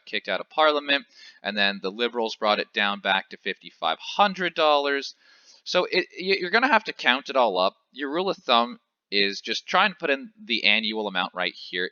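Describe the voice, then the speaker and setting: A young man from Canada talks through money basics in a loose, conversational way, often pausing on fillers and correcting himself. His voice sits on the low side yet sounds very bright and forward. The recording is dry with a slightly dull, lo-fi sound. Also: no echo. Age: 20s. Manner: chatty, lots of filler "uh" and self-corrections. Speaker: finance educator. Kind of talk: casual explainer tutorial.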